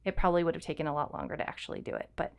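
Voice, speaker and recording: A woman with a smooth, slightly low voice talks as a podcast co-host. Her delivery is casual and explanatory, in everyday informal language. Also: animated, very fast. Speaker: podcast co-host and fiction writer.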